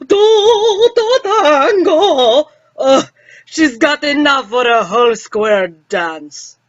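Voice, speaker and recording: A woman's voice: sits high, expressive, very slow, heavily acted. A woman acting a grumpy, sarcastic old male professor in an old-time radio comedy. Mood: mocking. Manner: sardonic, teasing insults. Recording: muffled band-limited audio.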